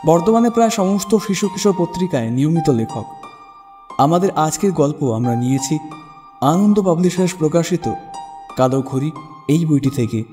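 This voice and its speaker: A man's voice: fairly high pitch, lively intonation, moderate pace, long pauses. A man hosting and narrating a scripted dramatic story for audio. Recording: long reverb with music bed. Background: music.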